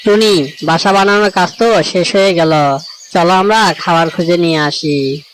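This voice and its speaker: A man voicing a gentle, reassuring male bird in a children's cartoon. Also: high-pitched, normal speed, a little hiss or hum, low-quality recording. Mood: agreeable.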